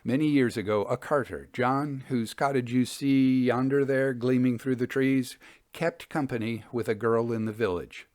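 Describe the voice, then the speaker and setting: A man reading the part of an earnest young Victorian gentleman telling village gossip in measured storybook dialogue. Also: medium pitch, fairly even intonation, no echo, studio quality, natural voice. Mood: earnest, confiding.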